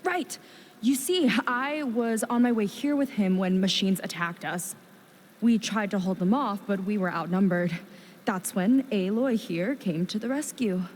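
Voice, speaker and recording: An actress playing an earnest, loyal young warrior companion in a video game, with a persuasive manner. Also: female voice, medium pitch, measured pace, darkish tone, slight background noise, natural voice. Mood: earnest, explaining.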